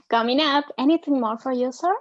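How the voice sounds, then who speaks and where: A woman's voice: sits high, moderate pace. A young woman playing an obliging sports bar waitress in a screenplay table read. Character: obliging twenty-five-year-old sports bar waitress. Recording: slightly dull, lo-fi microphone.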